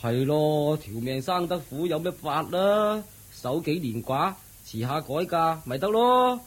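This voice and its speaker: An actor playing a curious, sympathetic village uncle in a Cantonese radio drama, exclaiming in a husky Hong Kong Cantonese voice. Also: male voice, a little high, lively intonation, measured pace. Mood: curious, concerned. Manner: exclaiming, inquisitive.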